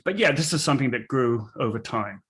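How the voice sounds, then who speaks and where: A man raised in Australia and England, a musical's co-creator, answering interview questions in a reflective, halting way. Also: mid-range voice, expressive, fairly bright tone. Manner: reflective, halting, with many fillers.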